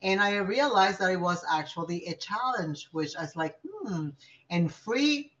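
A woman's voice: mid-range voice, expressive, unhurried, a bit bright. A middle-aged woman born in New York and raised in Puerto Rico, a community organizer chatting casually as a podcast guest and laughing often. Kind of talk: casual interview chat. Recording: slightly dull lo-fi audio.

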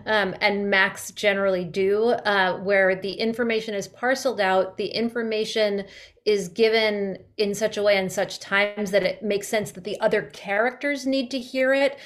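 A woman's voice: medium pitch, normal speed. A woman giving thoughtful, conversational interview answers, piecing her thoughts together as she goes. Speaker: audio drama artist and interview guest.

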